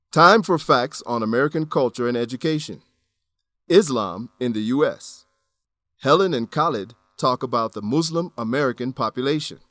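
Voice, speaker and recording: A man reading scripted educational narration for an English lesson, enunciating clearly in an instructional manner. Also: mid-range voice, fairly bright tone, dry recording. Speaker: narrator of an English-teaching radio program.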